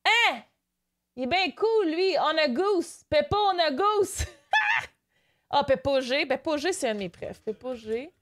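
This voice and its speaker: A woman's voice: sits high, a little slow. A young woman from Canada with a Québécois accent, chatting casually on a live stream while she reads her chat aloud and mixes in English. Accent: Québécois French. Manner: animated, switches to excited squeals, mixes in English.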